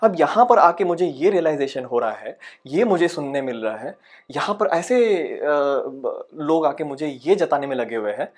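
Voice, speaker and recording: A man's voice: on the high side, lively intonation, moderate pace, close to an everyday voice. A man playing a frustrated, paranoid aspiring actor who rants insistently in a dramatic monologue. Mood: weary, frustrated. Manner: exasperated, ranting, insistent, with rhetorical questions. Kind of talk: dramatic comic audition monologue in Hindi-English mix.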